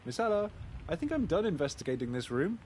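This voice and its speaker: A man's voice: a little high, sing-song, quick pace, a little hiss or hum. Man as a bumbling pig detective, wildly swooping voice. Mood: earnest, polite. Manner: hesitant, ponderous, courteous. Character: polite, bumbling pig detective, male, mildly pompous. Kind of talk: video game character acting. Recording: loud music behind the voice.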